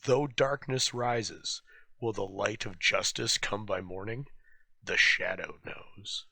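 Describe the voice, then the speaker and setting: A man's voice: lowish voice, takes it slowly, gravelly, bright, forceful tone, husky, no room sound. A man giving a dramatic, portentous scripted introduction as host of an old-time radio show. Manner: theatrical, portentous.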